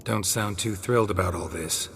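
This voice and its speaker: Very low, terse monster hunter. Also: male voice, normal speed, big-room sound, a little hiss or hum. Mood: wry, deadpan. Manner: terse, dry, deadpan. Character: gruff, stoic, wry male monster hunter. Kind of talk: video game dialogue.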